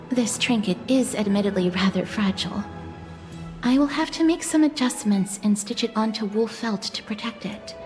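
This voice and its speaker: An actress playing a reserved, polite and gentle young noblewoman in a video game, speaking formally and softly. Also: fairly high pitch, natural voice. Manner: formal, courteous, soft-spoken. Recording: music behind the voice.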